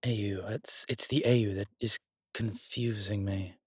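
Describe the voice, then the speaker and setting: A man playing a strong, scholarly demon in a horror roleplay audio, explaining things in a deliberate, halting, pedantic way. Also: fairly low pitch, fairly even intonation, slightly rough, no echo, clean studio sound. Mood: pondering, baffled. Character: strong, scholarly male demon, exasperated occult lecturer. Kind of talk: immersive one-sided roleplay audio drama.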